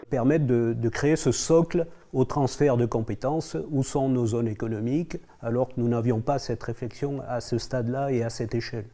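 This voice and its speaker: A man with a middle-pitched, dark voice gives testimony in an interview. His wording is formal and comes out haltingly. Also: steady tone.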